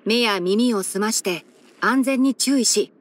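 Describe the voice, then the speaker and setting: A woman's voice: a little high, unhurried. Composed, scholarly young male forest ranger. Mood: helpful. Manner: composed, articulate, mildly wry, mentor-like explaining. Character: young male fox-eared forest ranger, scholarly, sensible, dryly caring. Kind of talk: scripted video game character voice-over.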